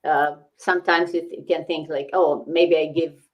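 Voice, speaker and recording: A woman hosts a relaxed business conversation, thinking aloud with plenty of fillers and quick "right?" checks. Her voice is notably low for a woman, and she keeps an encouraging tone. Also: highs cut off. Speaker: host. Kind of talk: casual business talk show.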